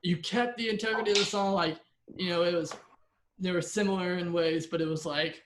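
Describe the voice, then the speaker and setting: High-voiced male judge on a call, upbeat and chatty, in a roomy space. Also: fairly quick, slight background noise. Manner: upbeat, animated, lots of "like" and "you know". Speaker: judge of a music challenge. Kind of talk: casual video-call chat. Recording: echoey room over a video call.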